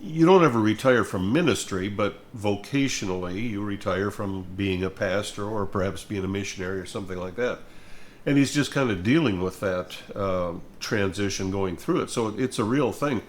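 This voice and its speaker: An older man speaks in a fairly low voice. His talk is conversational and reflective. He comes across as a plainspoken, thoughtful storyteller. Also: moderate pace. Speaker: music minister and host.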